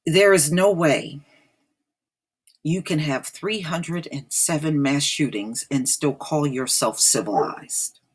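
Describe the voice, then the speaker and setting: A woman's voice: sits low, takes it slowly, slightly rough. An American woman giving informal opinion commentary in a blunt, disapproving, emphatic manner, repeating phrases for effect. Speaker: online commentator.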